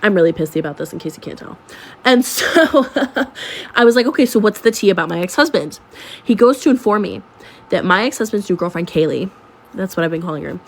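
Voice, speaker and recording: A young woman sounds stuffed-up from a cold. She tells her story in an animated, sarcastic way and leans into the drama. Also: on the low side. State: sick, congested. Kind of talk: storytime vlog.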